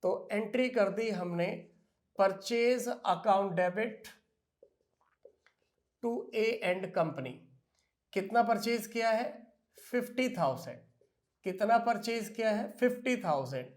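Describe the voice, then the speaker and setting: A man's voice: high-pitched, animated. Man teaching accounting to students. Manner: addresses students as "beta", asks and answers own questions; slow, drawn-out dictation of entries. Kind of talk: classroom lecture.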